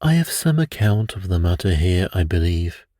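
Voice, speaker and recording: Composed Victorian gentleman detective read by a male narrator: assured, precise, mellow, lilting, storybook pacing. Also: low voice, very animated, normal speed, no echo, clean studio sound. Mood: assured, intrigued. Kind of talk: bedtime storybook reading.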